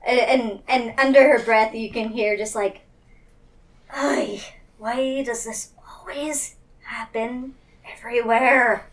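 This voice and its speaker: A woman with a fairly high voice plays a tabletop game, narrating slowly in halting bits. She is slightly away from the microphone. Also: fairly even intonation, recorded from a distance. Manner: hesitant, halting. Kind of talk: casual improvised roleplay narration.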